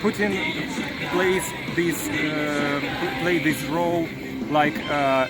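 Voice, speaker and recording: A man's voice: fairly high pitch, steady tone, takes it slowly. A Belarusian man at an outdoor protest, speaking hesitant English with many fillers and restarts as he searches for words. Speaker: protester being interviewed. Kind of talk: street interview. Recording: loud crowd and music outdoors.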